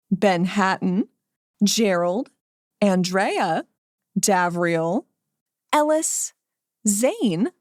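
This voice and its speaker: A woman's voice: mid-range voice, darkish tone, no echo, studio quality. A woman hosting a podcast, giving credits and thanks to listeners. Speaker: podcast host.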